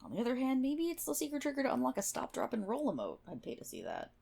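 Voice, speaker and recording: A woman's voice: high-pitched, huge pitch swings, quick pace, vocal fry, dark tone, a little hiss or hum, heavily acted. A woman playing a prickly, sarcastic guild healer in an online game, scolding and swearing one-on-one in an ASMR roleplay. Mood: bossy. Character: brash, prickly tsundere MMO guild healer, a woman gamer.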